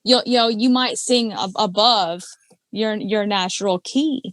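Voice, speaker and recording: A woman's voice: a little slow, no room sound. A woman, chatting casually as a podcast guest and explaining singing with lots of fillers and restarts. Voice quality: low alto voice. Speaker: podcast guest, karaoke singer and judge. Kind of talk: casual chat.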